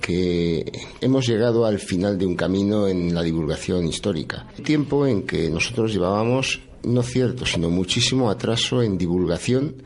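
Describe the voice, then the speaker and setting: Low-voiced man, mixed Madrid-Galician accent. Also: normal speed, darkish tone. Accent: mixed Madrid and Galician Spanish. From Madrid, Spain. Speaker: author being interviewed. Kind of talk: explanatory radio interview.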